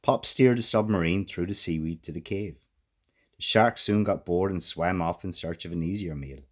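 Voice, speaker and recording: A man reading a children's storybook aloud as an expressive narrator. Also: sits low, moderate pace. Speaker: author reading own children's book.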